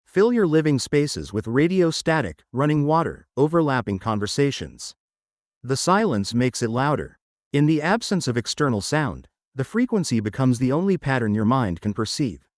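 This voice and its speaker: A solemn male emergency broadcast announcer, acted out by a man delivers an eerie official warning in analog horror style. His voice sits at a medium pitch and moves with lively intonation. The delivery is formal, measured and detached, recorded close and dry without room sound. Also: dry recording, close to an everyday voice. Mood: ominous, controlled. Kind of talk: scripted analog horror public advisory narration.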